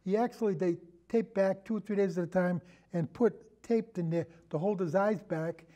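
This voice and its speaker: A man in a casual film discussion, telling anecdotes in a halting, rambling way and often repeating his phrases. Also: high voice, dark tone. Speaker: podcast guest, film discussion.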